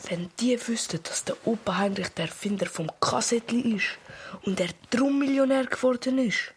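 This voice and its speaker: A woman's voice: a little high, moderate pace, a little hiss or hum, lightly acted. A woman playing an eager, affectionate young boy with a Swiss accent in a children's radio play. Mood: conspiratorial.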